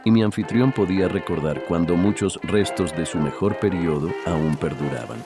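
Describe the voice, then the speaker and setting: A man narrating a literary horror story in a measured, solemn way. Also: low-pitched, over music. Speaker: audiobook narrator.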